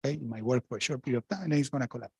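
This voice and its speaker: A man's voice: medium pitch, racing, gravelly, dark tone, slightly rough, no echo, studio quality. A middle-aged man from Argentina, a former central banker, speaking frankly and emphatically on a panel, with restarts and asides. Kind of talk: panel talk answering questions.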